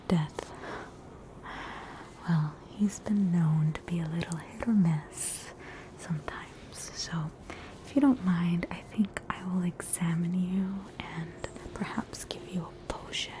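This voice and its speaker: A woman with an Australian accent playing a polite, gossipy hospital witch in a softly whispered ASMR roleplay. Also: fairly low pitch, low-quality recording. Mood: attentive, reassuring. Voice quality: soft, breathy whisper. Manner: courteous, attentive, unhurried.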